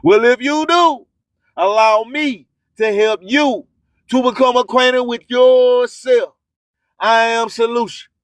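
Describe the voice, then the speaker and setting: Real high voiced guy, radio host preaching street wisdom in rhymes, slow n emphatic, dry sound. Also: fairly even intonation, no echo. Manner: preachy, emphatic, repeats slogans; streetwise slang with swearing. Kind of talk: motivational radio monologue in rhymed catchphrases.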